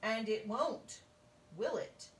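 A woman's voice: on the high side, animated. Viola Swamp, a woman doing a scratchy stern teacher. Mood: bossy. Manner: loud, drawn-out and bossy. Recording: roomy, distant, faint hum.

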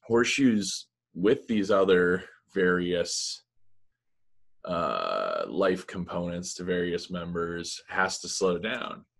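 A man with a very low voice talks in a relaxed, meandering way, leaning on "you know" and "like" as he answers. He is in his thirties and speaks into a laptop mic on a video call from a small room. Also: slow, creaky. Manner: relaxed, rambling, many fillers. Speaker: musician guest. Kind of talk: casual video-call interview. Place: small room with blinds, indoors. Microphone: laptop webcam mic on video call.

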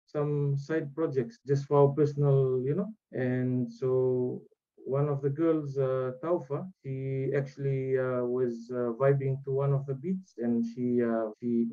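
A man in his thirties with a Fijian accent, giving halting, easygoing interview answers as a guest over a video call. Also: mid-range voice, mellow. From Rotuma, Fiji. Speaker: guest, music producer. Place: small room indoors, on a video call. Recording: dull video-call audio.

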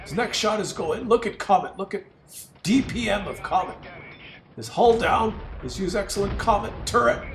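A man voicing an excitable, mocking game commentator who speaks broken English in a put-on foreign accent. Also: high voice, normal speed, creaky. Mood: steady commentary. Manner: broken grammar, exclaiming and gloating. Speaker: host. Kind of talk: comic commentary on a game replay. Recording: roomy, distant mic with faint noise.

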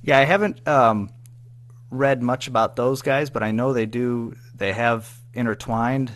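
A man's voice: mid-range voice, steady tone, measured pace, fairly bright tone. An American man giving a casual interview as a guest, speaking in an informal, hesitant, rambling way. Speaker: interview guest, audio drama writer and producer.

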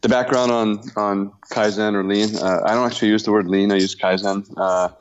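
A man in his fifties from the US Pacific Northwest, a business owner speaking in a halting, self-deprecating way with many fillers, calling in from his workshop. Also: on the low side, fairly even intonation, highs cut off, a little hiss or hum. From Washington state, US. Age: 50s. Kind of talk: casual interview talk.

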